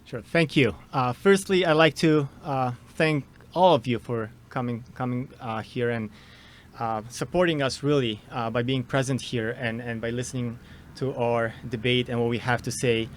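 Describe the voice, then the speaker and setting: A man's voice: medium pitch, fairly even intonation, normal speed, a little hiss or hum. A man from Poland with a Polish accent, introducing himself as a candidate at an informal outdoor debate, hesitant and full of fillers and restarts. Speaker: political candidate.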